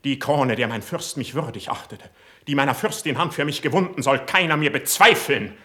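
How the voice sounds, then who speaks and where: An actor playing a proud, hot-blooded young poet in a classical German verse radio play, declaiming fervently. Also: male voice, medium pitch, normal speed, lightly acted. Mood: wounded pride. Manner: declamatory, fervent, swinging from hushed to outraged.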